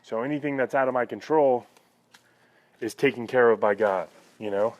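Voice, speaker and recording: A man's voice: mid-range voice, normal speed. A man vlogging in a casual, conversational and reflective way, talking to viewers and often checking in with "you know". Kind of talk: casual personal talk to viewers.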